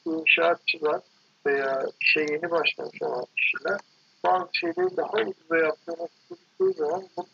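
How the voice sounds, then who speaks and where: Casting: man, very raspy and creaky, halting and self-correcting interviewee, casual and reflective, dull lo-fi sound. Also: a little high, wildly swooping pitch. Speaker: architect guest being interviewed.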